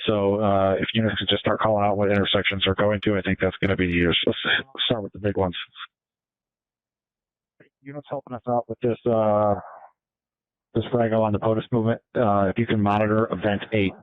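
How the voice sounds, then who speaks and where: A man's voice: on the low side, steady tone, fairly quick, no echo. An American man coordinating units as a police officer over the radio. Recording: tinny narrowband police radio recording.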